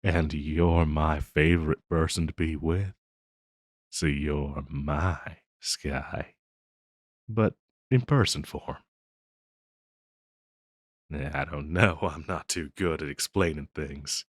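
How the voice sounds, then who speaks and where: A man's voice: a bass voice, takes it slowly, long pauses, no echo, clean studio sound, exaggerated voice. A man playing an affectionate, easily flustered harpy boyfriend in an intimate audio roleplay, speaking tenderly and a little hesitantly with a teasing streak. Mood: soothed, loving.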